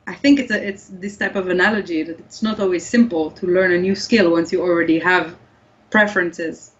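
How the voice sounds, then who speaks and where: A woman's voice: on the low side, brisk. A woman whose first language is Hebrew, explaining research in an interview and often restarting her sentences. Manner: thoughtful, many restarts and fillers. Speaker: guest researcher. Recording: slightly dull lo-fi audio.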